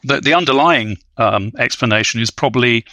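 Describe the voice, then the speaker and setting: A man's voice: mid-range voice, fairly quick, pushed and bright, no echo. A man speaking as an expert podcast guest in an academic discussion, with hesitant, scholarly, wordy phrasing.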